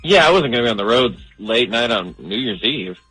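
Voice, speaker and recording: A man's voice: medium pitch, expressive, brisk, bright, forceful tone, soft background music. A man answering a phone call in a polite, hesitant manner. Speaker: prank call recipient.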